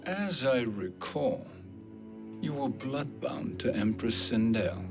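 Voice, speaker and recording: An actor playing a scheming middle-aged sorcerer in a video game, speaking in a smooth, formal, falsely courteous and insinuating way. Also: male voice, medium pitch, lively intonation, slow pace, over music. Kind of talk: video game cutscene acting.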